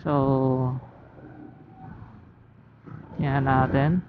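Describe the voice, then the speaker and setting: A motovlogger with a Filipino accent chats casually and thinks aloud in short halting phrases, speaking through a helmet intercom while riding. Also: mid-range voice, little pitch movement. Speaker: motovlogger reviewing camera gear. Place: outdoors, riding a motorcycle. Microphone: motorcycle helmet intercom headset. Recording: tinny helmet intercom mic, road noise.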